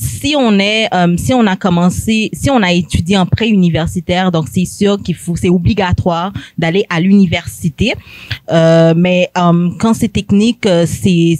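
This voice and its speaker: A woman's voice: on the low side, darkish tone, no echo. Hesitant young woman from Haiti. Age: young adult. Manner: hesitant, many fillers. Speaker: guest. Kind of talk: hesitant personal testimony.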